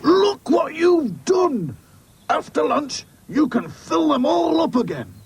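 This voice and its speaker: Woman acting a stern, gravelly storybook factory boss, exasperated man. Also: a little high, big pitch swings, slow pace, a little mellow, voice pushed up, full character voice. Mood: furious, grim. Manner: firm, scolding.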